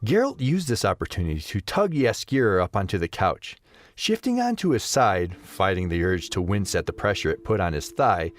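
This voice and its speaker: A man's voice: low voice, big pitch swings, moderate pace. A man narrating a scripted story as the narrator of an audio drama.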